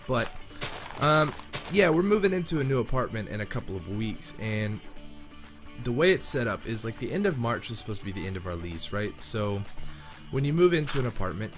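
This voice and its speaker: A young man with a head cold, his voice nasal and scratchy, chatting casually while playing a game. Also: on the low side. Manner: rambling, chatty, many fillers like "um" and "like". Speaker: Minecraft YouTuber. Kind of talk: casual commentary over gameplay. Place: home room, recording near a sleeping girlfriend. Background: background music.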